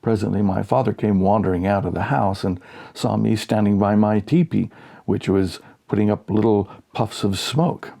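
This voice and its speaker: A man narrating a humorous short story as an audiobook, with dry, measured storytelling. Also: fairly low pitch, vocal fry, mellow.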